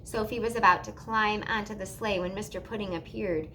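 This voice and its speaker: A woman reading a children's storybook aloud in an expressive narrating style. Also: medium pitch, fairly quick, a little hiss or hum. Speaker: storybook reader.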